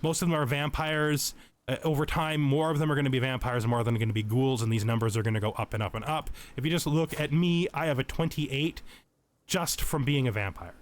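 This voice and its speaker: A man giving casual let's play tutorial commentary, explaining game mechanics and thinking aloud as he goes. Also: medium pitch.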